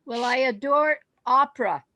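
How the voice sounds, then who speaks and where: A woman's voice: sits high, moderate pace, sharp tone, dry recording. A woman whose first language is French, casually sharing her tastes with an online group.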